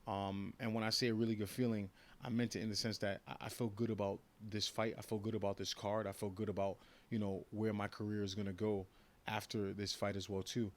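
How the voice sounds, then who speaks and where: A man's voice: fairly low pitch, level tone, fairly quick. A man in his thirties from New York, a professional fighter giving a casual interview, talking brashly and confidently with many repeated restarts. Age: 30s.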